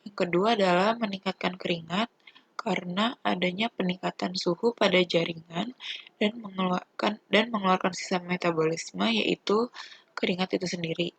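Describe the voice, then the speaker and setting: Young Indonesian woman, low husky voice. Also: fairly even intonation, normal speed, no echo, low-quality recording. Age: young adult. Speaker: physiotherapy student presenter. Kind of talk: student presentation explaining a topic.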